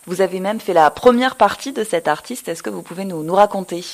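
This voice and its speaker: A woman radio host introducing and interviewing a guest in a clear, polished announcer's delivery. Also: medium pitch, a little fast.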